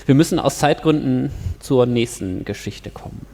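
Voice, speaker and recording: Gravelly male panel moderator. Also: medium pitch, moderate pace, dark tone. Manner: guiding, summarising, with fillers. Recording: reverberant hall.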